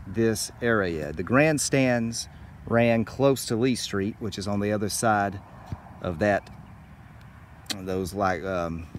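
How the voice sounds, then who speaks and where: Man, middle-aged, rather low voice, enthusiastic travel host, talking to camera, outdoors with faint ambient noise. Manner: enthusiastic, addresses viewers directly. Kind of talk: on-location narration to camera about music history. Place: outdoors at a small-town baseball field.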